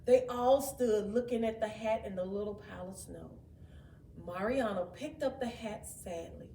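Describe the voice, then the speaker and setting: A woman reading a children's story aloud in an expressive, dramatic way, with asides to her young listeners. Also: mid-range voice, normal speed. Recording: roomy, distant mic, faint background noise.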